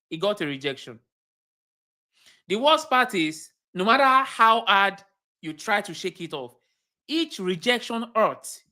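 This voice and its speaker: A woman with a Nigerian accent coaches a livestream audience in an emphatic way. Her voice is bright and forceful, and she often circles back to repeat a point. Her pitch moves a lot, and she checks in with her listeners by asking them questions. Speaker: cybersecurity career coach. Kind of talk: livestream teaching talk.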